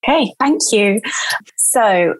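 A woman with a mid-pitched voice talks as a podcast guest. She tells her personal story casually, in a chatty and reflective manner. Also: lively intonation, takes it slowly, low-quality recording. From born in Brazil, grew up in England from age eight. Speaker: podcast guest, journalist and writer.

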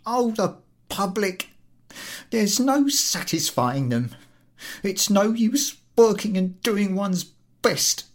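An over-zealous railway ticket collector played by a male storyteller, pitched high with lively swings. He is officious, aggrieved and easily flustered, whining his way through a storybook tale. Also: slow. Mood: aggrieved complaint. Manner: whining, officious, stammering when cornered. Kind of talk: dramatised storybook reading.

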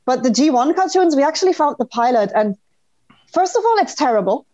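Female podcast guest, higher-pitched, blunt and emphatic casual talker, muffled lo-fi sound. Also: animated, fairly quick, dark tone, no room sound. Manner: sweary, emphatic. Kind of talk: casual chat, telling childhood memories.

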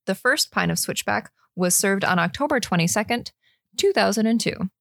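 A woman's voice: mid-range voice, lively intonation, moderate pace, vocal fry, fairly bright tone, dry recording, studio quality. A woman co-hosting a beer podcast, giggly, reading brewery facts in casual chat. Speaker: podcast co-host.

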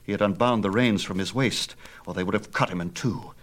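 A man with a fairly low voice narrates an ancient myth. His delivery is theatrical and emphatic, with measured phrasing suited to dramatic audiobook storytelling.

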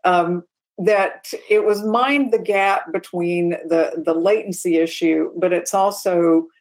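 Woman, lowish voice, podcast co-host, casual aviation chat, explanatory and emphatic. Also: steady tone, slow, a little mellow. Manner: explanatory, emphatic on safety points.

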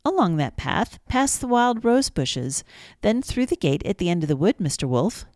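A woman's voice: mid-range voice, big pitch swings, normal speed, a little mellow, no room sound, clean studio sound, voice pushed up, natural voice. Woman voicing a polite, innocent storybook little girl. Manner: polite, trusting.

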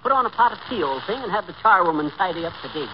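A man voicing a jolly, pompous English gentleman with an affected mock British accent, in old radio comedy. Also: sits high, very animated, racing. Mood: hearty. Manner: hearty, affected. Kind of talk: 1940s radio comedy acting. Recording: noisy, muffled old radio broadcast.

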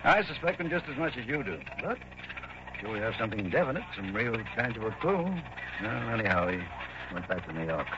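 Blunt, animated police sergeant, male. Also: medium pitch, brisk. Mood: frustrated. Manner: emphatic, plain-spoken, slangy. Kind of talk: 1960s radio drama acting. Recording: dull old radio recording, clear hiss.

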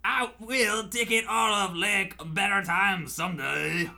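A man's voice: sits high, takes it slowly, creaky, bright, forceful tone, slight background noise, heavily acted. A man playing a grandiose, wordy villain who threatens doom in a theatrical radio-play parody. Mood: defeated, vengeful. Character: grandiose French melodrama villain vowing doom.

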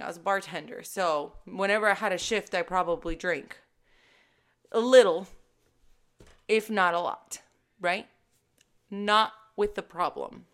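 A woman in her thirties, a fitness coach hosting her own podcast, talking to listeners in a casual, emphatic and animated way. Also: mid-range voice. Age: 30s. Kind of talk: casual solo podcast talk.

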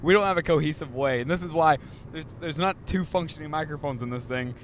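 A man's voice: on the high side, quick pace. A man hosting a casual podcast, rambling and joking, recorded on a handheld mic in a moving car. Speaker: podcast host. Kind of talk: casual chat. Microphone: handheld mic passed around. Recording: road noise from a moving car.

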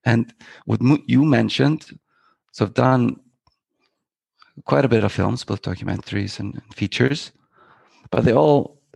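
A man's voice: on the low side, vocal fry, slightly rough, dry recording. A middle-aged man from Iceland, a filmmaker guest talking in a reflective, meandering, poetic way with many fillers and restarts. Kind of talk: reflective interview talk.

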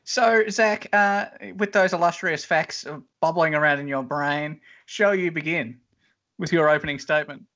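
A man's voice: a little high, normal speed, sharp tone. A man with a nasal voice hosting a comedy podcast, playfully announcing rounds. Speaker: host. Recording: lo-fi podcast audio.

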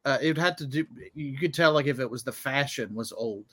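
A man's voice: mid-range voice, a little fast, a bit bright, breathy edge. A man co-hosting a casual comedy podcast, telling stories in a hesitant, meandering way. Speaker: podcast co-host.

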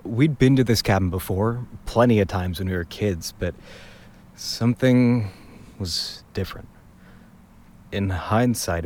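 A man's voice: low-pitched, a little mellow, slight background noise, lightly acted. An actor playing a wary, sarcastic young man in a naturalistic supernatural mystery audio drama. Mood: reflective. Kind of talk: naturalistic audio drama acting with recorded narration asides.

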